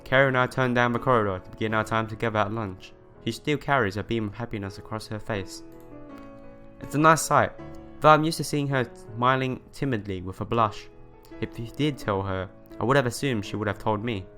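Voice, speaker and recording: A man's voice: mid-range voice, brisk, pushed and bright. A young man reading visual novel narration aloud as a let's player. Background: music behind the voice.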